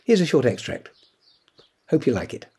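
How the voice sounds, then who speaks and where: A man narrating an audiobook in a scripted, dramatic style, with measured, suspenseful delivery. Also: on the high side, sing-song, darkish tone, a little hiss or hum.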